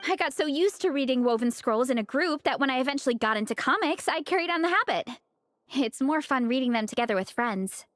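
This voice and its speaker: An easygoing, sociable young woman from a video game. Her voice is high, and she chats along in a breezy, upbeat, friendly manner. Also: expressive, fairly quick, a bit bright, no echo. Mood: cheerful, reminiscing. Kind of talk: English video game voice acting.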